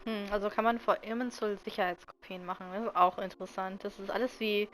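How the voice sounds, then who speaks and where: A woman's voice: mid-range voice, fairly even intonation, fast talker, fairly bright tone. A woman giving casual let's-play commentary as a game streamer, reflective and thinking aloud. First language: German. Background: game music.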